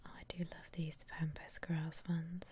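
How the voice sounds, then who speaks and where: A woman whispering softly and breathily as she muses aloud through ASMR game commentary. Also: low-pitched, monotone. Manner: unhurried, soft-spoken musing aloud. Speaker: host of an ASMR gaming channel.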